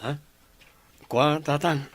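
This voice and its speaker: A man's voice: on the high side, steady tone, slow pace, dry recording. An actor playing an earnest, polite young man with an Ilocano accent in a radio drama. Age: young adult. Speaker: grandson and boyfriend character.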